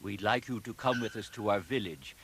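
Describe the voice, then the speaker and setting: An actor playing a courteous emissary of a hidden people in a seventies audio adventure drama. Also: male voice, lowish voice, a little fast, a bit bright, slight background noise. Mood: polite, calm. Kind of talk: 1970s full-cast audio adventure drama acting.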